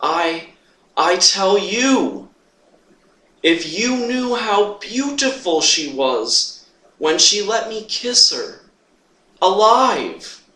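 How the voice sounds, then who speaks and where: Man performing a slow, tormented, lovesick theatrical Phantom. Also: high-pitched, pushed and bright, pitched above the performer's own voice, exaggerated voice. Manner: theatrical, drawn-out, emphatic monologue delivery. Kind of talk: dramatic monologue reading. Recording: roomy echo, distant microphone.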